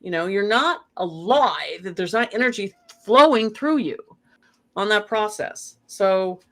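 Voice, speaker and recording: Speaker, interview guest, meandering spiritual talk, lots of "you know" fillers, mid pitch. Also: animated, measured pace, pushed and bright. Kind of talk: rambling spiritual conversation.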